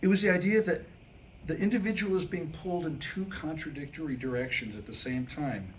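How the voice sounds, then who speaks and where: An American man with a slightly high voice delivers a comic monologue to a live audience. He is wry and self-deprecating, setting up lines deliberately and pausing for effect. The live recording carries faint background noise. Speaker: writer and performer reading his own new comic script. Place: live staged reading before a small audience. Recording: noisy live room recording.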